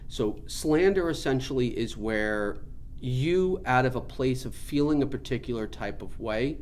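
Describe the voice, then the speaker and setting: Earnest man teaching over clear background noise. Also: mid-range voice, lively intonation, unhurried, a little mellow. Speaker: spiritual teacher. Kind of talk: informal spiritual teaching talk.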